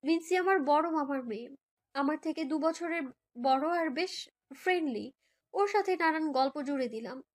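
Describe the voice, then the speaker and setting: A woman's voice: high-pitched, moderate pace. A young woman narrates a romantic story in the first person, in an emotive, girlish way, as if living it. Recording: background music under voice.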